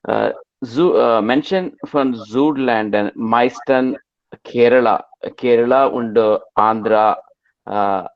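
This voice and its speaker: A man from Karnataka speaks slowly and searches for every word, repeating himself as he goes. His voice sits low. The recording is dry and dull, with little top end. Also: no room sound. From Karnataka, India. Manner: very halting, repeats words. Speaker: club member. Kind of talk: unprepared casual talk in learner German.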